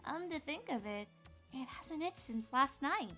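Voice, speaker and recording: Young female unicorn princess, acted by a woman, high-pitched, very animated, bookish, fretful, cartoon audio drama. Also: measured pace, heavily acted. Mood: explanatory. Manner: expressive, fretful, articulate. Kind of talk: dramatized fan fiction reading. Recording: music behind the voice.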